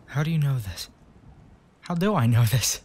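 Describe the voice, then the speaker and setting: A man's voice: medium pitch, lively intonation, moderate pace, a little mellow, breathy edge. An actor playing a blunt young man with an interest in the occult, who talks in a blunt, sometimes crude way. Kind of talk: audio drama acting.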